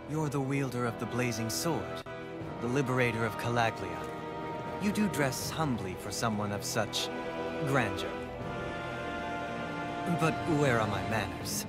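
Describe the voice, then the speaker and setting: An actor voicing a courteous, self-assured lord in a video game, speaking formally and eloquently in measured phrases. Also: male voice, medium pitch, expressive, natural voice. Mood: courteous, composed. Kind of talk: video game cutscene acting. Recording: loud music behind the voice. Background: music.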